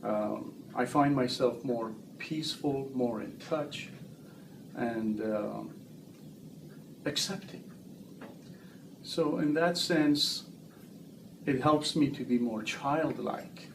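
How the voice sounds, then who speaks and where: Slow, meditative man, echoey and distant. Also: medium pitch, vocal fry. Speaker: guest author. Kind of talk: casual interview chat. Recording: roomy, distant mic with noise.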